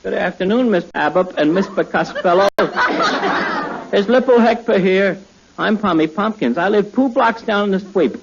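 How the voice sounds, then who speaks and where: A man's voice: high-pitched, moderate pace, creaky, a crowd in the background. A man playing a boastful, pushy boy with a speech impediment that turns T into a popping P, in 1940s radio comedy. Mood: chirpy, polite. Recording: noisy, dull 1940s radio recording.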